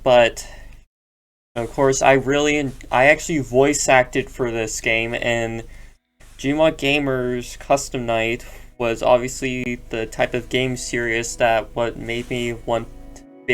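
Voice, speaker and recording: A man hosting a reaction video, speaking softly and hesitantly in casual commentary, often restarting his sentences. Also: mid-range voice, smooth. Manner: soft-spoken, hesitant, with repeated restarts. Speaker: YouTube reaction host.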